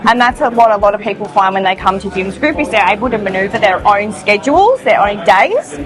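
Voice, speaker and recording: Bubbly, loud woman. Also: medium pitch, expressive, a little fast. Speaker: training manager. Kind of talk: interview answers. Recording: music bed under the talk.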